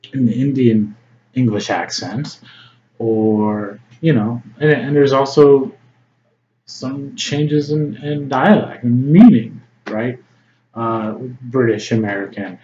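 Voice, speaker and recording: A man's voice: mid-range voice, darkish tone. A man with a Chicago accent, an English tutor giving an informal lesson to camera, often checking in with "right?" and "okay?". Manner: conversational, frequent "right?" and "okay?" check-ins, many ums. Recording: slightly dull lo-fi audio with faint noise.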